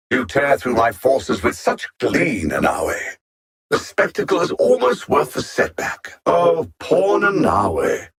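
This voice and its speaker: A man's voice: mid-range voice, creaky, fairly bright tone, husky, exaggerated voice. A man playing a pompous alien machine-intellect conqueror in a video game, coldly sardonic and formal, with theatrical menace. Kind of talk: scripted video game villain dialogue.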